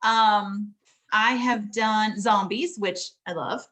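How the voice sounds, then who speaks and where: A middle-aged woman, a fantasy author, chatting casually about writing, laughing often and filling pauses with ums. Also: medium pitch, a bit bright.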